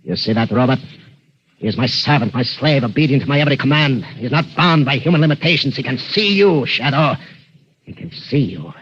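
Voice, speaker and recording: An actor playing a gloating, theatrical mad inventor villain in a vintage radio drama, sneering and cackling. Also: male voice, mid-range voice, expressive, a little fast, a bit bright, husky, highs cut off. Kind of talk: 1940s radio acting. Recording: scratchy old radio broadcast.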